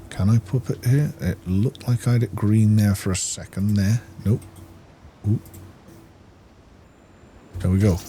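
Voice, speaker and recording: A man giving casual, low-key gameplay commentary, musing and thinking aloud to himself. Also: dark tone, a little hiss or hum. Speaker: gaming video host.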